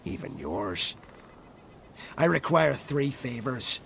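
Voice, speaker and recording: An actor playing a crotchety, haughty old druid in a video game, with an imperious, condescending manner and whispery phrase endings. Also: male voice, mid-range voice, sing-song, slow pace, gravelly, a bit bright, a little hiss or hum, exaggerated voice. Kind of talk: scripted video game acting.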